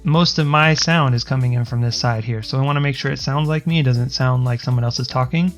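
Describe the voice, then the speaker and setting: A man who says he has a higher-pitched voice, walking through audio settings in a loose, rambling tutorial with plenty of ums and restarts. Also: fast talker, faint music behind. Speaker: streamer explaining audio settings. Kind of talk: casual screen-recorded tutorial.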